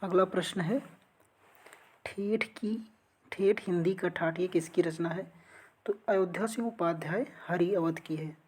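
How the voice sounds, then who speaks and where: A woman's voice: lowish voice, unhurried, darkish tone, breathy edge. A woman teaching an exam-prep lesson, reading out quiz questions and answering them in an instructional way. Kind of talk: lesson going through multiple-choice quiz questions.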